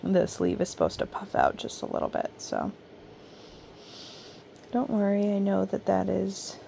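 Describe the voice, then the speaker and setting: A hoarse, raspy woman chatting casually and softly at home at night while she crochets, with ums and trailing pauses, recorded on a camera mic. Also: mid-range voice, very animated, a little hiss or hum. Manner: soft, unhurried, trailing off with ums. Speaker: crochet vlogger.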